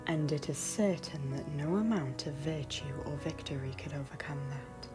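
A woman voicing a solemn, caring queen and mage in an animated fantasy, speaking formally and with measured phrasing. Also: low voice, over music, lightly acted. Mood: sorrowful, reluctant. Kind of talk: dramatic animated fantasy dialogue.

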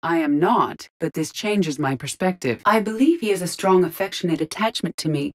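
An actress voicing a female artificial intelligence in a video game, speaking in a measured, formal, precise and detached way. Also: fairly low pitch, lively intonation. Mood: calm, analytical. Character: calm, analytical female artificial intelligence in an android body.

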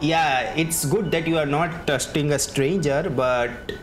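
A man doing casual playthrough commentary as a gaming streamer, halting as he thinks aloud. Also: on the high side. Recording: game music behind voice. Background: video game music.

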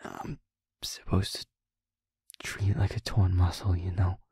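Man acting a husky, half-whispering young werewolf boyfriend. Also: low-pitched, little pitch movement, warm tone, dry recording, studio quality, exaggerated voice. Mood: weary, subdued. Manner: halting, slow, heavy pauses. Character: feisty young male werewolf boyfriend, wounded, determined, tender. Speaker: audio roleplay voice actor. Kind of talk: intimate boyfriend audio roleplay.

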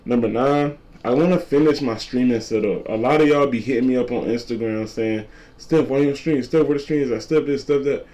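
A twenty-year-old man with a deep voice, a content creator talking casually to camera and repeating his points. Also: brisk, warm tone. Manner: repetitive, laid-back slangy talk, repeats lines. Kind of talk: casual vlog talk to camera.

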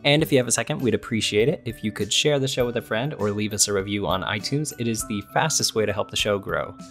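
A man's voice: on the low side, fast talker, a bit bright, faint music behind. A man hosting a podcast, delivering scripted narration. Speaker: podcast host.

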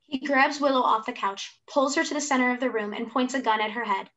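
A woman's voice: on the high side, brisk, a bit bright. A young woman reading stage directions as scripted narration for a play over a video call. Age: young adult. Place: room at home. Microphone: computer mic on video call.

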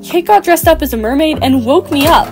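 A woman's voice: sits high, expressive, a little fast, loud music behind the voice. A woman performing scripted children's dialogue for a doll story. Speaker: amateur voice performer for doll stop-motion videos.

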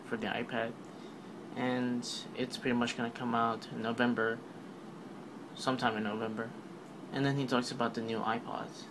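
Casual male narrator, monotone, rambling, noisy home voice-over. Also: medium pitch. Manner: offhand, rambling, filler-heavy. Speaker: tech video narrator. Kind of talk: casual voice-over recap of a keynote.

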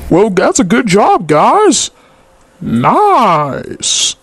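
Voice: medium pitch, wildly swooping pitch, extremely slow, breathy edge, dry recording. An amateur voice-over performer improvising comic movie dubbing in a goofy, exclaiming, theatrical way. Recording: loud movie noise and roars behind.

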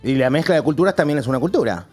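An Argentine man hosts a casual studio show in a slangy, joking way. His voice sits a little high and swings widely in pitch. Soft music plays behind his close-miked speech. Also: lively intonation, racing. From Rosario, Argentina. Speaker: host. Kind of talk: casual comedic studio chat. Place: streaming studio. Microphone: close studio microphone with headphones.

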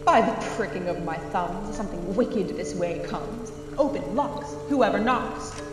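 A woman's voice: medium pitch, a little slow, lightly acted. A woman playing an ominous witch in a radio play, chanting eerie verse like a spell. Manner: incantatory, eerie. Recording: distant, echoing, loud music behind.

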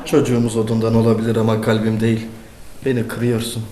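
A man's voice: on the low side, monotone, dark tone, lightly acted. An actor playing a sulky, henpecked old woodcarver who grumbles at his wife. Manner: sulky, complaining, quick. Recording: roomy studio with hiss.

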